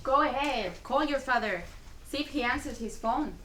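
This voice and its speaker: Woman acting high-voiced, worn-out, exasperated mother. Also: normal speed, a bit bright. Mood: weary, stern. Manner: weary, exasperated, pleading. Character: exhausted, exasperated Cuban immigrant mother, wounded and guarded. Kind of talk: dramatic play reading. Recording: distant mic, faint room noise.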